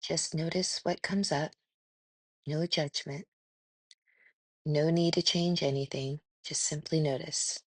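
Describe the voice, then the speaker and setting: A woman leading a guided meditation in a gentle, soothing, unhurried way with pauses. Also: low-pitched, steady tone, dry recording.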